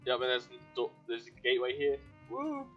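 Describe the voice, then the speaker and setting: Lively man streaming a video game. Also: medium pitch, fairly quick, dull audio. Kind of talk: casual live gameplay commentary. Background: game music.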